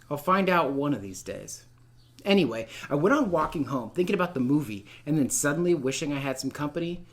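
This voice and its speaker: A man teaching literature in a read-aloud lesson, speaking in an expressive, informal and encouraging way. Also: on the high side. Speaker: middle school literature teacher. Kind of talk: read-aloud lesson, narrating a novel and addressing students.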